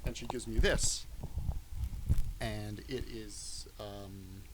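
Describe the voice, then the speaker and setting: A man chatting casually on a podcast, telling anecdotes in an animated storytelling way, on noisy amateur audio. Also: on the low side, extremely slow, crowd noise.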